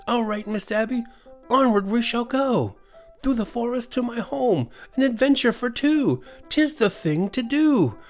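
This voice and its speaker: A small clawed woodland creature for a children's storybook. The voice jumps up high and loud, exuberant and gleeful, rolling along like an old-fashioned nursery rhyme. Faint music plays behind it. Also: male voice, lively intonation, measured pace. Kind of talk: storybook character voice.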